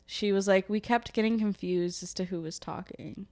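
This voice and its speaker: Smooth-voiced young woman co-host. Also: medium pitch, normal speed, a little mellow. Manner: chatty, self-mocking. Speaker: podcast co-host. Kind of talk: casual chat.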